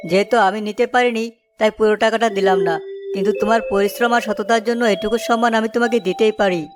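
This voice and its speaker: A woman voicing a kind, fair and dignified gentleman in a village cartoon. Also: medium pitch, fairly even intonation, fast talker, over music, lightly acted. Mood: kindly. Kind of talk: cartoon story voice acting.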